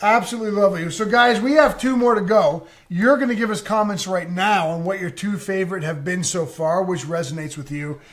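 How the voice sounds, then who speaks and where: A man's voice: sits high, brisk, few pauses. A man co-hosting a live online show in casual chat, speaking emphatically as he hypes up his viewers. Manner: emphatic, hyping up the audience.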